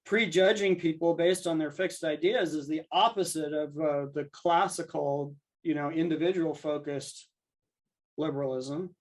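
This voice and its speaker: Higher-pitched man, discursive podcast guest. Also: steady tone, unhurried. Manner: discursive, argues through imagined dialogue. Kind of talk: conversational interview, thinking aloud.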